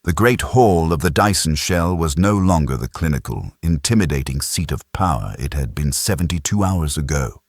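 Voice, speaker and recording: A man with an extremely low voice narrates a sci-fi audiobook. The delivery is measured and dramatic, recorded close and dry with no room sound. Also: lively intonation, no echo.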